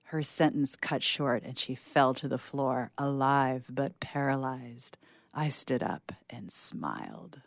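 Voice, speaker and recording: A woman's voice: very deep voice, expressive, takes it slowly, creaky, a little hiss or hum, pitched below the performer's own voice, full character voice. Woman playing a grave, uneasy doctor recounting events. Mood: quiet, sinister. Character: uneasy, secretly sinister doctor testifying as sole survivor. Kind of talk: first-person suspense story reading.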